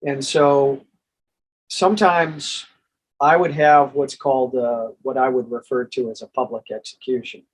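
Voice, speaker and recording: A man with an American accent and a husky voice, presenting in an informal monologue and reading from a book. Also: mid-range voice, steady tone, unhurried, rough recording. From United States. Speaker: presenter and reader.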